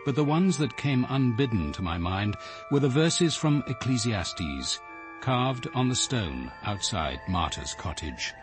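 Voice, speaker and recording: An actor playing a grave, reflective detective, narrating in a measured, literary way in a radio drama. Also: male voice, mid-range voice, big pitch swings, over music. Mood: solemn. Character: grave, reflective male police detective recounting his evening.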